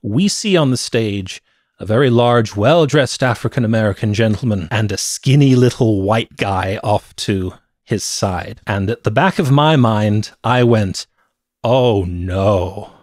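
A man's voice: on the low side, unhurried, a little mellow, dry recording. A middle-aged man co-hosting a movie podcast, chatting casually about films into a close microphone. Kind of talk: casual film review chat. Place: cluttered room at home. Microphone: close podcast microphone on a stand.

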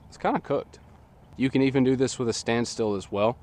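A young man in his twenties, slightly nasal from a sinus infection, explaining a sports technique in a relaxed, chatty way outdoors, recorded by a camera at a distance in an open field. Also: mid-range voice, fairly quick, a little hiss or hum. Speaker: disc golf coach. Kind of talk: casual instructional talk. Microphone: camera on a tripod at a distance.

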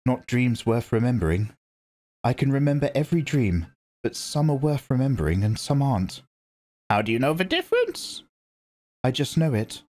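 A man's voice: mid-range voice, wildly swooping pitch, normal speed, darkish tone, pitched above the performer's own voice. A man reading aloud and voicing a wary, serious teenage boy who answers in a plain, guarded way. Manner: plain, guarded, matter-of-fact.

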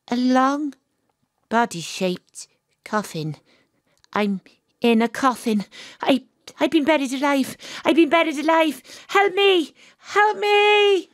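An actress playing a troubled, weary woman private detective with a dramatic, halting delivery in an audio drama. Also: high voice, no room sound, clean studio sound. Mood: panicked.

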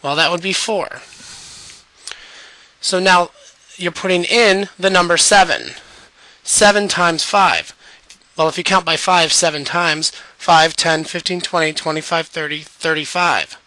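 High, bright-voiced man teaching math, losing his voice. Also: a little slow, no room sound, low-quality recording. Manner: patient, guiding step by step. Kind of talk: screencast math lesson.

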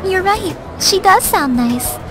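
Very high-pitched shy anime girl. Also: animated, slow pace, full character voice. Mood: gentle, curious. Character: shy, soft-spoken teenage anime girl. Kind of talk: visual novel voice acting. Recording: music playing behind.

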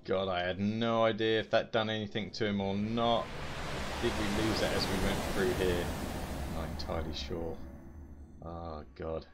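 A man's voice: low voice, steady tone, moderate pace, heavy background noise. A British man giving casual gameplay commentary, talking to himself with mild exclamations. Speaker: gaming channel host. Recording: loud game music behind voice. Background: loud video game music.

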